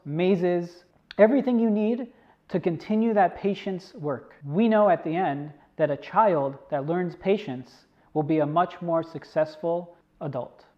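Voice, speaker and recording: High-voiced man, dull recording. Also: a little mellow. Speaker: book series co-creator promoting resources. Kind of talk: enthusiastic promotional message. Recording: dull, lo-fi sound.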